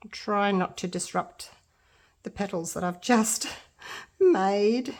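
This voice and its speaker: A woman's voice: medium pitch, slow pace. A middle-aged woman with an Australian accent and a congested, blocked-up nose, chatting casually through a resin art tutorial and thinking aloud as she works. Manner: chatty, self-deprecating, thinking aloud while working.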